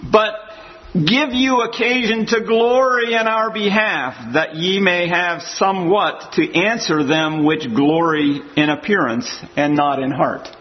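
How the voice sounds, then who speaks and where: A man with a rather high voice gives an expository sermon. He reads scripture passages aloud and then works through them verse by verse. The recording sounds muffled and lo-fi. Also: unhurried. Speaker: preacher.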